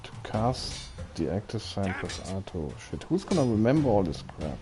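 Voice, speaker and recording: A man's voice: on the low side, sing-song, moderate pace. A man doing gameplay commentary, reading on-screen tutorial instructions aloud as he plays. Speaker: gaming video host. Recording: game music behind voice. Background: video game music.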